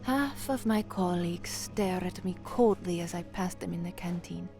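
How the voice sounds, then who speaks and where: An actress playing an anxious, earnest young woman from the slums in a dramatic first-person audio story, measured and introspective. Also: fairly low pitch, darkish tone, soft background music, lightly acted. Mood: weary, tense.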